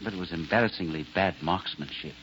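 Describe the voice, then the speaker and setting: A man's voice: low voice, quick pace, a bit bright. An actor playing a gangster's articulate right-hand man in a 1940s radio drama, speaking in wordy, formal phrases with dry irony. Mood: suave, dry. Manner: wordy, formal, drily ironic. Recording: muffled, faintly noisy old radio recording.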